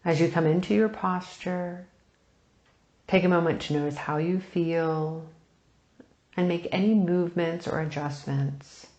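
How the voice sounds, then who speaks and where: A woman leading a guided meditation, speaking softly and soothingly in unhurried phrases with long pauses, turning breathy at phrase ends. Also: on the low side, fairly even intonation, reverberant, lo-fi sound. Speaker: meditation teacher.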